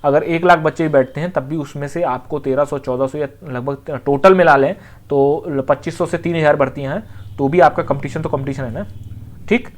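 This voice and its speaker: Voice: mid-range voice, a little fast. Exam coach explaining informally. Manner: conversational, with frequent check-in phrases and repetition.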